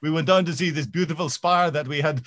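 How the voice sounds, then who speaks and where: A man imitating, in a put-on Russian accent, a Russian man giving a false alibi, in a deadpan, innocent-sounding way. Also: fairly high pitch, fast. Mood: feigned innocence. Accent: mock Russian.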